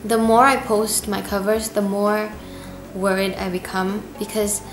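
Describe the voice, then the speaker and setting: A woman's voice: medium pitch, level tone, unhurried, faint music behind. A woman in her early twenties from Indonesia, chatting earnestly and gratefully in a casual question-and-answer vlog. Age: 20s. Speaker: YouTube cover singer. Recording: echoey room with noise.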